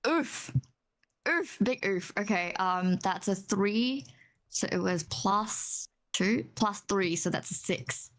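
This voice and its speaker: A woman's voice: medium pitch, lively intonation, takes it slowly, a bit bright. A woman chatting casually as a player in a tabletop role-playing game.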